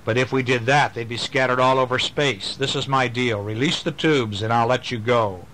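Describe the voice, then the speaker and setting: A man's voice: mid-range voice, moderate pace, fairly bright tone, natural voice, rough recording. A man voicing a confident young male space hero in a bedtime story read aloud. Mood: firm.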